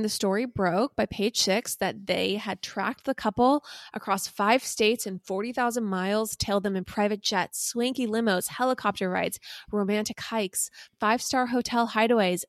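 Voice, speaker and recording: A woman's voice: mid-range voice, no echo, studio quality. A woman co-hosting a podcast, telling a recap in a conversational, teasing and playfully dramatic way. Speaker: podcast co-host.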